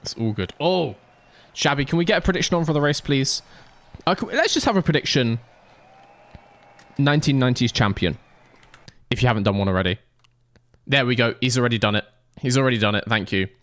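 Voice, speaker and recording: A man's voice: medium pitch, expressive, a little fast, pauses often, a bit bright. A man streaming a racing game, commenting playfully and joking with his chat. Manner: playful, jokey, talks to chat. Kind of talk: live gameplay commentary.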